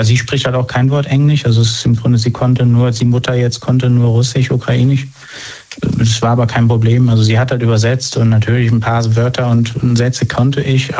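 A man's voice: mid-range voice, mellow. A man as an interview guest, answering hesitantly with many fillers and restarts. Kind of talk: casual conversational interview. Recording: dull lo-fi sound.

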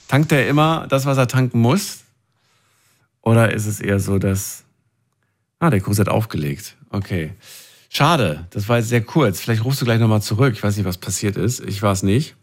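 Calm low-voiced male call-in host. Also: moderate pace. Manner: calm, asks follow-up questions. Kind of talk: radio call-in interview.

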